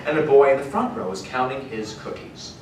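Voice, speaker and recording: A man narrating a scripted story in an animated, storytelling style at a live panel. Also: medium pitch, fairly quick. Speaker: narrator of a live audio drama table read. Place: large indoor panel room at a convention. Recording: echoey convention hall, distant mic, faint noise.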